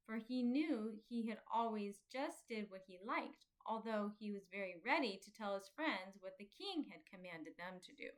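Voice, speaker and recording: A woman narrating a children's story from a book in an expressive storytelling manner. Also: fairly high pitch. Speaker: storybook reader.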